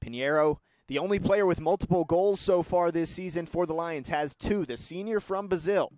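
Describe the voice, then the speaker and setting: Higher-voiced young male sports play-by-play commentator. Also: no echo. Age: young adult. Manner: measured description rising to excited calls.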